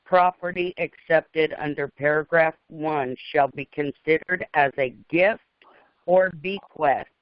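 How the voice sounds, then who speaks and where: A man reading legal text aloud, word by word and haltingly, on a conference call. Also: on the high side, no room sound. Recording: muffled, lo-fi conference call audio.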